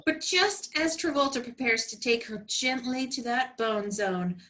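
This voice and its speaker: A woman's voice: a little high, expressive, a little slow, fairly bright tone. A woman comedian giving sassy, sarcastic narration for a radio play. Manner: dry sarcastic commentary.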